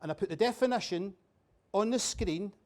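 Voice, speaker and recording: A man's voice: sits high, normal speed. A Scottish man giving a humorous after-dinner talk, chatty and jokey, quizzing the audience. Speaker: keynote speaker. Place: dinner venue with a bar.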